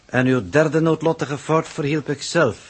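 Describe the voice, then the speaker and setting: A man's voice: fairly high pitch, a little slow, husky. A man playing a cold, exacting spaceship coordinator in a 1960s radio drama, speaking in formal, precise officialese. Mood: cold reproof. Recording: old 1960s radio recording.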